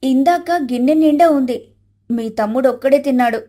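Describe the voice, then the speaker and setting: A woman's voice: sits high, normal speed, warm tone. A woman voicing a dutiful, apologetic young wife in a cartoon story, polite and deferential. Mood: defensive. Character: dutiful, apologetic elder-sister daughter-in-law.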